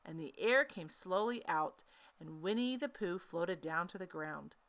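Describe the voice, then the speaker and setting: A woman narrating a children's story in an expressive, animated storytelling manner. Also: on the low side, a little slow.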